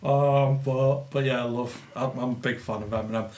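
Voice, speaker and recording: A man's voice: mid-range voice, normal speed, echoey room. A middle-aged man with a Scouse accent, co-hosting a casual podcast chat, laughing often and swearing freely. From Liverpool, England. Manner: laughs easily, swears, animated storytelling. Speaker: podcast co-host.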